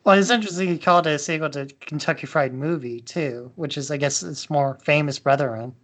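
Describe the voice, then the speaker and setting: A man's voice: a little high, a little fast, a bit bright. A man co-hosting a podcast, chatting casually about movies. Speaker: podcast co-host.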